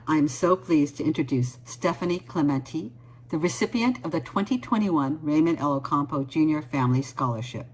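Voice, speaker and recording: Woman, low husky voice, formal award presenter, measured reading. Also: rough recording. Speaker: foundation board member presenting an award. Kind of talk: prepared award introduction.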